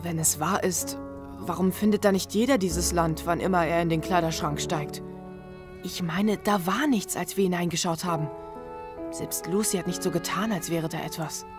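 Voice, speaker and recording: A woman's voice: lowish voice, normal speed, over music, natural voice. An actress playing a sensible, reproachful older sister, a girl, in a German audio drama. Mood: puzzled, polite. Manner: reproachful, questioning.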